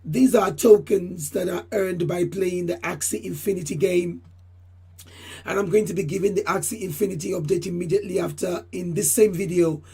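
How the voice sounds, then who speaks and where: Female channel host, very husky and mellow, voice deepened by a recent cold, formal and repetitive delivery. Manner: formal, repeats phrases, addresses viewers as ladies and gentlemen. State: voice deeper than usual from a cold. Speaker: channel host giving crypto price updates. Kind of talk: solo market update talk.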